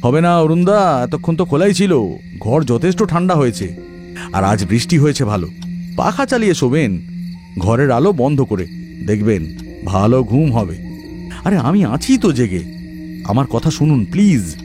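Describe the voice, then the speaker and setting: A man's voice: mid-range voice, very animated, moderate pace, pauses often, over music, voice pushed up, close to an everyday voice. A man voicing an earnest younger male housemate in an audio horror story, insistent and reassuring in a friendly way.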